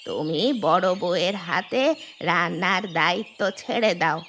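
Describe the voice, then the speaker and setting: A woman voicing a cartoon mother-in-law, the household matriarch, with a deliberate, exaggerated delivery. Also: on the low side, fairly bright tone, a little hiss or hum. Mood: doubtful. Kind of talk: Bengali cartoon storytelling voice acting.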